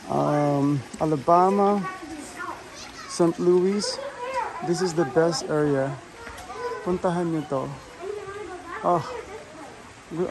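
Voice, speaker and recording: A woman with a Filipino accent and Tagalog as her first language, narrating a casual walking-tour vlog and often addressing her viewers directly as she switches between languages. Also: low voice. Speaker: vlogger. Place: outdoors in a garden. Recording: clear outdoor background noise.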